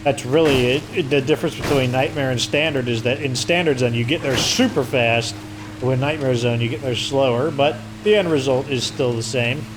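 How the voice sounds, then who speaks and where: Hoarse male gamer, casual rambling commentary, game audio clearly audible behind. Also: medium pitch, fairly quick, noisy background. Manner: rambling, filler-heavy explaining. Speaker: let's play host.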